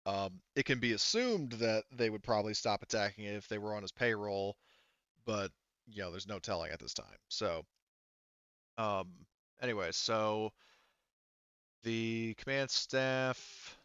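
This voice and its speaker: Man, game master, lowish bright voice, halting informal explanations, dry muffled recording. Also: smooth, dry recording. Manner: halting, thinking aloud, informal. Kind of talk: casual tabletop game explanation.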